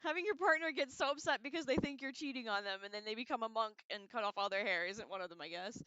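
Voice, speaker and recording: A woman's voice: fairly high pitch, quick pace, sharp tone, clean tone, no room sound. A woman hosting a casual, rambling livestream discussion with an irreverent, slangy manner, on a slightly dull microphone. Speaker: livestream host.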